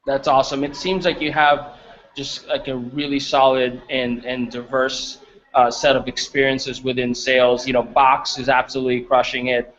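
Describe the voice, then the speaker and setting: A man hosting a casual interview, speaking in halting phrases with repeated words. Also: medium pitch, flat delivery, fairly bright tone, muffled recording. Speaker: interviewer, host.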